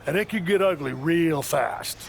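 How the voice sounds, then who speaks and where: A wry wrestling coach from a video game. His voice sits fairly high with plenty of fry, recorded over faint background noise. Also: animated, normal speed, rough recording. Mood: wry, challenging. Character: wrestling trainer setting challenges for a rookie. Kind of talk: video game cutscene dialogue.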